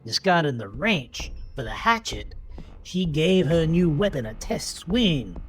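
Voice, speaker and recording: A man narrating a scripted horror story in a dramatic, deliberate, suspenseful manner. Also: medium pitch. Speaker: audiobook-style narrator. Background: music under the voice.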